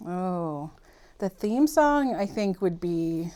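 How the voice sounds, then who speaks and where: A woman's voice: on the low side, expressive, slow pace, mellow. A woman with a mild Boston accent, a guest on a podcast, answering casually, agreeing readily and laughing often. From Massachusetts. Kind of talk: casual interview chat.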